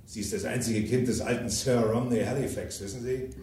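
A man's voice: mid-range voice, steady tone, brisk, mellow, distant mic, slight background noise, close to an everyday voice. An actor in a German crime radio drama playing a cynical, ambitious self-made businessman, blunt and coarse, with theatrical emphasis. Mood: cynical, boastful. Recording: echoey room, off the microphone.

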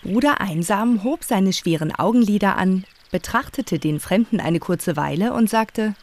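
A woman's voice: mid-range voice, expressive, moderate pace. A woman narrating a scripted children's story in a radio play.

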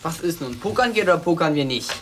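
A woman's voice: low-pitched, lively intonation, fast, fairly bright tone, slight background noise. A woman playing an impatient, nagging poker player in a radio drama, insistent and exasperated.

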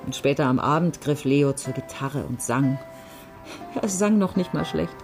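A woman's voice: low-pitched, moderate pace, over music. An actress playing a wistful, passionate woman confiding memories of a past love in a radio drama. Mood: fond, animated. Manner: confiding, reflective.

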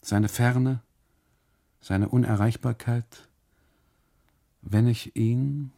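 A man narrating a literary radio monologue in the first person, deliberately, in short phrases broken by pauses. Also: medium pitch, fairly even intonation, vocal fry, warm tone.

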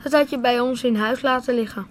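A woman's voice: on the high side, fairly quick, a little hiss or hum, close to an everyday voice. A woman voicing a blunt, indifferent teenage boy in a radio play. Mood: plain. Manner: blunt, dismissive. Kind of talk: radio drama acting.

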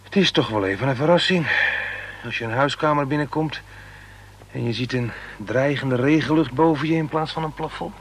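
A man's voice: medium pitch, normal speed, breathy edge. An actor playing a polite, hesitant young male visitor in a radio play, agreeable and mildly amazed. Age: young adult. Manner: hesitant, agreeable, brief replies. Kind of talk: Dutch radio play dialogue.

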